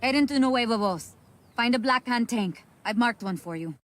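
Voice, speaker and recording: A woman's voice: on the high side, animated, normal speed, fairly bright tone. An actress playing a focused young female rebel fighter in a video game. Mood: briefing, focused. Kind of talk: video game cutscene acting.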